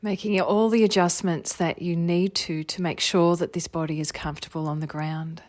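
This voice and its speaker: Low-voiced woman guiding a meditation, close and dry. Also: steady tone, no echo. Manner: soothing, unhurried, gentle instruction with long phrases trailing softly. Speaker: meditation teacher. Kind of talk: guided meditation, body scan narration.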